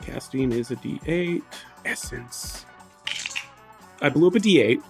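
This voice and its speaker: A man of medium pitch talks through his moves in a tabletop roleplaying game. His delivery is casual and conversational, and faint music plays behind him. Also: animated, unhurried. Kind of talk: casual game talk, describing character actions.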